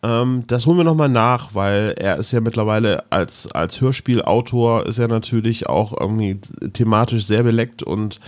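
Male podcast host, lowish register, informal and discursive, conversational delivery. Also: darkish tone. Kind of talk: casual podcast chat.

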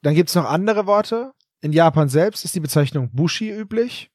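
A man's voice: on the high side, expressive, normal speed, a little mellow, no room sound, studio quality. Chatty man recapping a story. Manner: rambling plot retelling, loose and chatty. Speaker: podcast co-host. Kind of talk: casual episode recap.

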